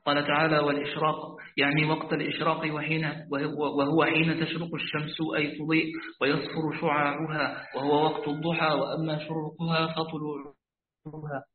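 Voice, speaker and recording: A man's voice: fairly high pitch, little pitch movement, fairly quick. Man reading a classical text over a tinny phone line. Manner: careful formal reading with restarts. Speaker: student reading the text aloud. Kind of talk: reading classical commentary aloud. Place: rooftop outdoors. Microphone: phone on a weak network.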